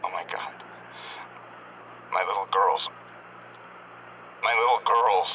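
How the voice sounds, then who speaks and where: A man's voice: on the low side, slow pace. A man playing a proud, hot-blooded Soviet cosmonaut in a radio drama, heard through a radio transmission filter. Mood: anguished. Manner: emotionally intense, outspoken. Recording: muffled, noisy radio transmission.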